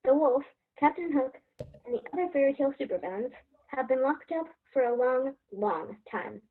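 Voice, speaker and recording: A woman's voice: high voice, expressive, moderate pace. A woman playing an earnest, hopeful little girl hero in a fairy-tale stage play. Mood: proud storytelling. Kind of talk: stage play acting and storybook narration. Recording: dull, muffled lo-fi sound.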